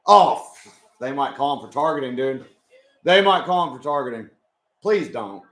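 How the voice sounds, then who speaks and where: A young man with a hoarse voice, chatting casually with his live chat as he watches a football game. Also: medium pitch, expressive, moderate pace, a bit bright, reverberant. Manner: chatty, swears, talks to chat. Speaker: live stream host.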